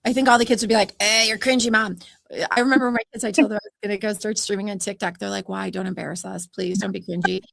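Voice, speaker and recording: A woman hosting a podcast talks in an upbeat, chatty way and laughs easily. Her soothing voice carries a gravelly fry. It sounds close and dry, with no room around it. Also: medium pitch, steady tone, quick pace, a bit bright, dry recording. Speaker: podcast host. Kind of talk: conversational podcast interview.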